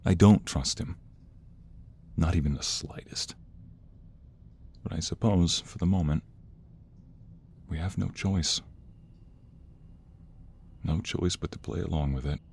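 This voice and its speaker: A man playing a protective, defiant mobster devoted to his lover, acted with earnest emotion and dramatic pauses in an audio roleplay. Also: sits low, vocal fry, a little hiss or hum, exaggerated voice. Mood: worried. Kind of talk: audio roleplay romance acting.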